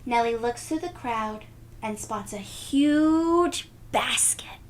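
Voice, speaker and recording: A woman reading a picture book aloud to young children, expressive and stopping to explain things simply. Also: on the high side, slow, slight background noise. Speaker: read-aloud storyteller.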